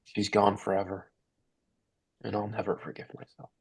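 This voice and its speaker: A man's voice: lowish voice, monotone, moderate pace, vocal fry, slightly rough. A man playing a guilt-ridden, grieving father, sombre and confessional, in a dramatic table read.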